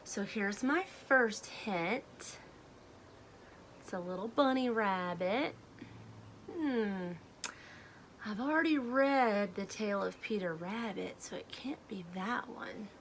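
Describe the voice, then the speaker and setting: A woman reading a storybook aloud to children, speaking in a deliberate, simple way. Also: medium pitch, a little hiss or hum. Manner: deliberate, simple phrasing addressed to young children. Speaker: children's read-aloud host.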